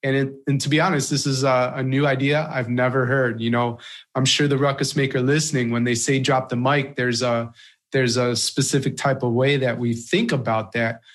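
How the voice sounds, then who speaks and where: Laid-back guy hosting a podcast, mid pitch, lots of uh and you know. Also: moderate pace. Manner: relaxed, thoughtful, uses fillers and restarts. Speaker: podcast host. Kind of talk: conversational podcast interview.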